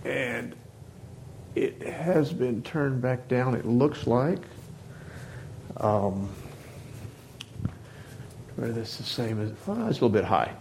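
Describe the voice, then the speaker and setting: A man leading a Bible class into a microphone, talking hesitantly with many restarts and fillers and clearing his throat often. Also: mid-range voice, animated, slow, pauses often, darkish tone, slight background noise, low-quality recording. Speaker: Bible teacher. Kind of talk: informal Bible class talk. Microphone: microphone on a sound system.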